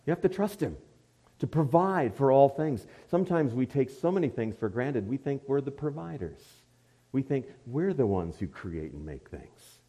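A man's voice: medium pitch, expressive, moderate pace, warm tone, breathy edge. A man speaking as a pastor at a church service, introducing visiting missionaries with light joking.

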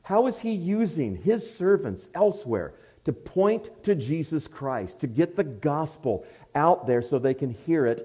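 A man's voice: medium pitch, dark tone, slightly rough. Man leading a church service. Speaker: pastor.

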